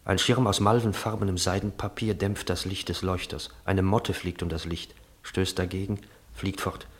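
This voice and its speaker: A man's voice: deep voice, steady tone, normal speed, lightly acted. A man narrating a literary radio drama as an observant storyteller, in precise, descriptive, clipped phrases. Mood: measured. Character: observant narrator of a brothel scene. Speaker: narrator of stage directions. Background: music.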